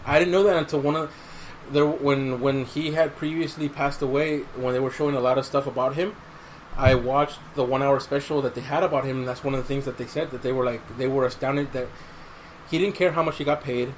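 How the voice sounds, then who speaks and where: Male podcast host, mid-pitched, relaxed chat style with storytelling, slight noise behind the voice. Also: a little fast, rough recording. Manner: conversational, recounting stories, says dude. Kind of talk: casual chat. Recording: clear background noise.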